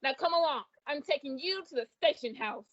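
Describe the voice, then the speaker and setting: A woman's voice: high-pitched, expressive, brisk, fairly bright tone, dull audio. A woman playing a bossy, brusque police officer in an old-time radio comedy. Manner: brusque, commanding.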